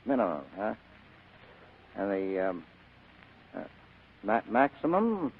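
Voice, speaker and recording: Gravelly, tough private eye. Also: male voice, lowish voice, big pitch swings, takes it slowly, full character voice. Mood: groggy, stammering. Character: tough, blunt private detective. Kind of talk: 1940s radio acting. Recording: tinny, noisy vintage radio broadcast.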